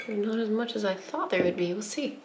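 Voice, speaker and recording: Young woman homestead vlogger, mid-pitched, relaxed chatter, recorded at a distance in an echoey home kitchen. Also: brisk. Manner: chatty, laughs easily, coughs at pepper fumes. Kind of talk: casual cooking demonstration. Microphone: wide camera on the counter. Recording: echoey kitchen with background noise.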